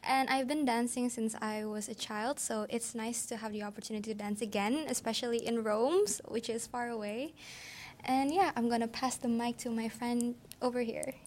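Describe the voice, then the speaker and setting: A young woman with a fairly high voice explains things in a chatty way, leaning on "like" and "you know". A faint low rumble sits behind her. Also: fairly even intonation, normal speed, darkish tone. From Indonesia. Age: young adult. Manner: chatty, explanatory, with many fillers like "like". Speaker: exchange student and dancer. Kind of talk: casual interview answers.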